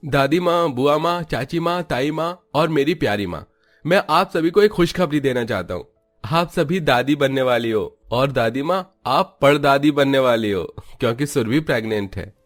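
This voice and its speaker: A man's voice: mid-range voice, lively intonation, moderate pace, faint music behind, close to an everyday voice. A man voicing an earnest, devoted young husband and son in a scripted Hindi cartoon moral story. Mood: happy, announcing.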